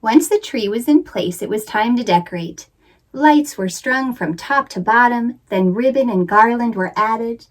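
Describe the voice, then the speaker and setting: A woman narrating a children's picture book in an expressive, theatrical read-aloud style with suspenseful emphasis. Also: on the high side, measured pace, poor audio. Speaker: children's author and librarian reading aloud.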